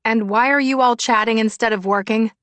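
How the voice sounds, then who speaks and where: A woman voicing a brisk, dry-humored, stubborn young farm manager in an audiobook. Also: on the high side, steady tone, fairly bright tone, smooth, dry recording, voice pushed up, lightly acted.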